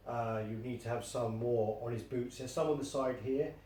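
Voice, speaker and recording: A man's voice: medium pitch, steady tone, normal speed, warm tone. A man co-hosting a casual statue review, hesitant and full of fillers, thinking aloud. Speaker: co-host. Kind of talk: casual chat. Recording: echoey room, mic at a distance, faint noise.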